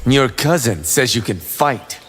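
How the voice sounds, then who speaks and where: An actor voicing a cocky, boastful young martial arts fighter in a video game, speaking theatrically. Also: male voice, medium pitch, expressive, a little slow, fairly bright tone, faint music behind. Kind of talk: video game character acting.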